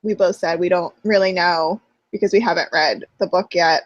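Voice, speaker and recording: A woman's voice: fairly low pitch, steady tone, normal speed, dry recording, highs cut off. A young woman co-hosting a casual TV recap chat, talkative and self-deprecating, with plenty of fillers. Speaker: co-host.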